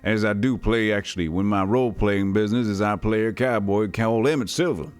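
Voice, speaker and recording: A man from Texas with a lowish voice and no regional accent, streaming an old role-playing game. He talks casually to his viewers, reads the game's text aloud and jumps between the game and the chat. Faint game music plays underneath. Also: fairly quick, clear, resonant tone. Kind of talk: casual gameplay commentary.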